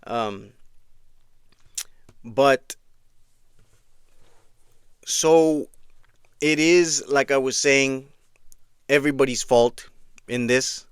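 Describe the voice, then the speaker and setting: A man's voice: medium pitch, drawn-out words, pauses often, clear, resonant tone, no room sound, clean studio sound. A man who grew up in Eastern Washington, giving a casual spoken commentary and often checking in with "right?". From Mexico, raised in Eastern Washington. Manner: conversational, frequent restarts and "right?" check-ins.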